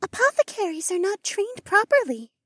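A man voicing a sad, grave young noblewoman in an audiobook, speaking in a solemn, measured way. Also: very high-pitched, sing-song, no room sound, studio quality, voice pushed up. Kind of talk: audiobook character voice.

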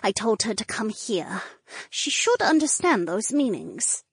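A bold dragon woman, acted by a woman in a fantasy audiobook. Her voice is high and very lively in pitch, with measured pacing. She comes across as quick-witted and urgent, recorded close and dry. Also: breathy edge, no room sound, full character voice. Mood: urgent, assured. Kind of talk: audiobook character dialogue.

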